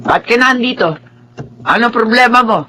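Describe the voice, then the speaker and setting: Neglected first wife; scornful, dismissive, bright and tense delivery. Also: male voice, very high voice, moderate pace, slight background noise. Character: bitter neglected first wife, sharp-tongued. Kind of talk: radio drama acting.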